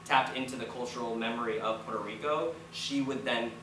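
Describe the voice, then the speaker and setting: A man's voice: mid-range voice, steady tone, brisk. A man in his early twenties with an American accent, a student playwright giving rambling, informal answers on a panel. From United States, Florida. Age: early 20s. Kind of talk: casual panel storytelling and answers. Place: indoor room, public post-show discussion. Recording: very noisy, echoey room, far from mic.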